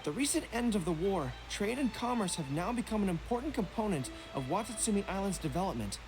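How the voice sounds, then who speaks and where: A man's voice: sits high, sing-song, quick pace, music in the background. A man voicing a young, courteous, dutiful general in a video game, speaking formally and seriously. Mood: earnest, businesslike.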